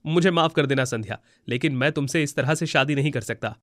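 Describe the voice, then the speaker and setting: A man's voice: medium pitch, a little fast, no room sound, clean studio sound, close to an everyday voice. A man voicing a status-conscious, dismissive young suitor in a moral story cartoon.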